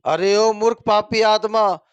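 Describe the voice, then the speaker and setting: Man playing a stern, high-voiced old village exorcist. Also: steady tone, unhurried, clean tone, no echo, lo-fi sound. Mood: commanding. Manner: commanding, incantatory. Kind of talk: dramatized Hindi moral horror story.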